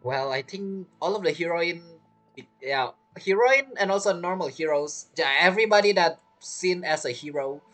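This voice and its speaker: Lively casual game streamer woman. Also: on the low side, normal speed, soft background music. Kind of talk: casual gameplay commentary.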